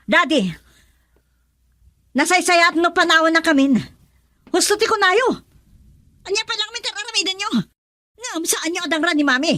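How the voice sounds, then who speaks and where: An actress with a Filipino accent playing an indignant young daughter, sharp and accusing, in a radio drama. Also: female voice, very high-pitched, moderate pace, pauses often, no echo, studio quality. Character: indignant young daughter standing up to her father.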